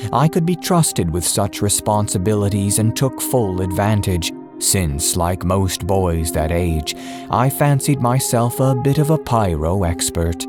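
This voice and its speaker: A man reads a scripted horror audiobook in a measured, deliberate storytelling manner. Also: on the low side. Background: background music.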